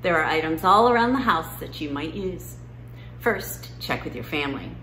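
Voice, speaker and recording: A woman's voice: low-pitched, lively intonation, moderate pace, bright, forceful tone. A middle-aged woman talking to children as an educational story time presenter, recorded indoors in a living room. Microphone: camera a few feet away. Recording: roomy room sound, faint noise.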